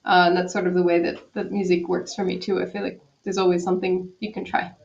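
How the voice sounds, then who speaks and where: A woman's voice: fairly low pitch, little pitch movement, fast, gravelly. Woman, cellist guest, thoughtful, restarts often, video call, slightly muffled audio. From Quebec, Canada. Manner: thoughtful, many restarts, soft laughs. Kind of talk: casual interview answers. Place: home in Berlin.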